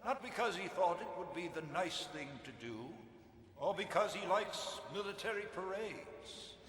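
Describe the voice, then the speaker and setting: Echoing, forceful Imperial academy officer. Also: male voice, sits high, lively intonation, moderate pace. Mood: rousing, stern. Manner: declamatory, authoritative. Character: stern, commanding Imperial academy officer addressing cadets. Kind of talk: formal military speech, audio drama. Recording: echoing hall reverb, faint background noise.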